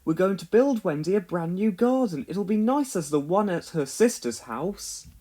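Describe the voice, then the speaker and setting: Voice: mid-range voice, lively intonation, normal speed, mellow, close to an everyday voice. A storybook narrator voicing an upbeat, encouraging male builder who leads a team. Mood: cheerful, encouraging. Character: cheerful, can-do adult male builder boss.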